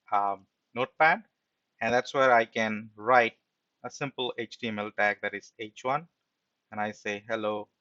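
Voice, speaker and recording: Male instructor, forceful bright voice, informal tutorial delivery, explanatory, dry lo-fi sound. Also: medium pitch, dry recording. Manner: explanatory, often adds "right?" as a check. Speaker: programming instructor. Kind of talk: informal screen-share tutorial.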